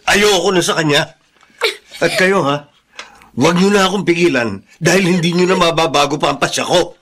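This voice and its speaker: A man's voice: on the high side, moderate pace, fairly bright tone. A man playing a harsh, angry middle-aged father in a Filipino radio drama, scolding and domineering. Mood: cold, defiant.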